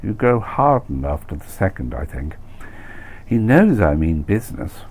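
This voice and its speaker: A man's voice: low-pitched, very animated, a little slow, darkish tone, exaggerated voice. A man voicing a chatty, nosy middle-aged expat wife who dispenses worldly, condescending advice in a comic story reading. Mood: blasé, advising. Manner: gossipy, cliché-laden, condescending advice-giving. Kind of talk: acted dialogue in comic short story reading.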